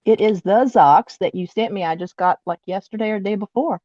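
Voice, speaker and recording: A woman's voice: medium pitch, a little fast, mellow, no room sound, highs cut off. A woman from Delaware joining as a special guest over a video call, easygoing and joking, laughing often. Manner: easygoing, jokey, laughs a lot. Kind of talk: casual chat over a call.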